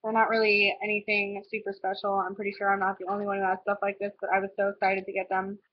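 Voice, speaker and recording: A woman's voice: medium pitch, monotone, quick pace, a little hiss or hum. A young woman with a stuffy, nasal cold voice, chatting casually through a makeup tutorial and rambling with lots of "um" and "like". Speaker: beauty vlogger. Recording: slightly dull lo-fi home recording.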